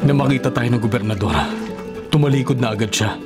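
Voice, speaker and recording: A man's voice: medium pitch, quick pace, over loud music, close to an everyday voice. A man playing a humble, responsible eldest brother in a Filipino radio drama, earnest and respectful. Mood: reassuring.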